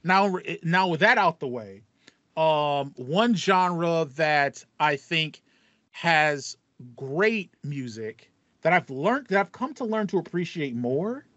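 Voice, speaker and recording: A man's voice: on the high side, lively intonation, takes it slowly, fairly bright tone, no room sound. A man in his thirties chatting casually as a podcast co-host, stressing points emphatically by repeating himself. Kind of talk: casual video game chat. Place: small home room with foam panels, video call. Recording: slightly dull, band-limited audio.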